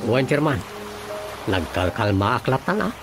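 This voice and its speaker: A man's voice: medium pitch, sing-song, a little slow, over music. A man playing a harried, placating village councilor in an Ilocano-accented radio comedy drama.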